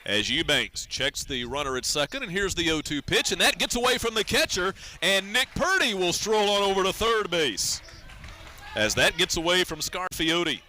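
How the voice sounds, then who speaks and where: An American man calling a baseball game as a radio play-by-play announcer, in a measured, descriptive way. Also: high-pitched, animated, pushed and bright. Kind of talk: live sports radio commentary.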